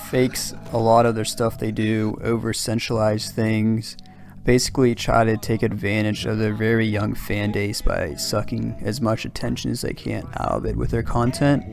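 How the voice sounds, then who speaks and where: A man narrates a scripted commentary in a low, gravelly voice. He keeps his delivery flat and measured, with music playing clearly underneath. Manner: measured, even-toned commentary. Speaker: video essay narrator. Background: background music.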